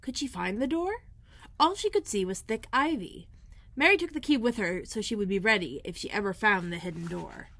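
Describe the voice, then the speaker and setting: A woman's voice: medium pitch, animated, moderate pace, gravelly, fairly bright tone. Woman reading a children's book aloud. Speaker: school librarian.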